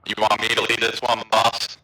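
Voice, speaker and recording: A man's voice: fairly low pitch, completely flat delivery, normal speed, bright, forceful tone. A man talking casually as an airshow pilot over game radio. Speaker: amateur airshow pilot in online flight simulator. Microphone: online voice chat. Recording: roomy, distant radio-like voice chat.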